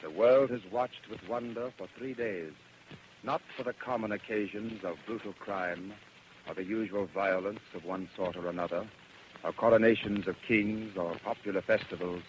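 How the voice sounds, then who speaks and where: Man as a deep, grave radio newscaster. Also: fairly even intonation, normal speed, lightly acted. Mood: grave, foreboding. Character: grave, foreboding radio news announcer. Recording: muffled, faintly noisy old radio recording.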